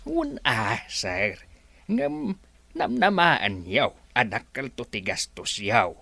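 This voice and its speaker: Man acting a slow, swooping, deferential old worker. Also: mid-range voice, sing-song, vocal fry, bright, forceful tone, exaggerated voice. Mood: deferential, hesitant. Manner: hesitant, respectful. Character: deferential, cautious old male resort worker. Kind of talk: Ilocano radio comedy drama.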